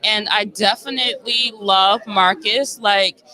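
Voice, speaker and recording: A woman's voice: mid-range voice, fairly even intonation, slow, pushed and bright, low-quality recording. A woman audience member talking emphatically and gushingly in a casual on-location interview. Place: on location backstage at a theater.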